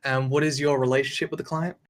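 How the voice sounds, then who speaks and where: A man playing a polite defense attorney, formal and measured, at a screenplay table read. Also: medium pitch, little pitch movement, close to an everyday voice.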